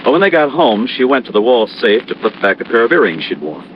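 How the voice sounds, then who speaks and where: An American actor playing an earnest, trusting, slightly anxious insurance office manager in a 1950s radio drama. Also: male voice, mid-range voice, big pitch swings, a little fast, natural voice. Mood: protesting, earnest. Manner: earnest, explanatory, conversational. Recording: dull, noisy old radio recording.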